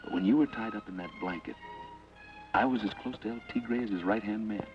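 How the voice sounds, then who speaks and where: An actor playing a tough outlaw who talks tersely and gives orders, in a 1940s radio drama. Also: male voice, fairly low pitch, a little fast, close to an everyday voice. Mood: grim. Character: tough outlaw gunman, adult man, blunt. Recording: dull old radio, heard from a distance, music behind.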